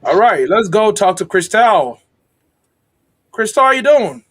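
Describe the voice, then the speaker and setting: A man's voice: high-pitched, sing-song, moderate pace, husky. A man hosting a casual video-call interview, chatty and upbeat with self-deprecating jokes. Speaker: host.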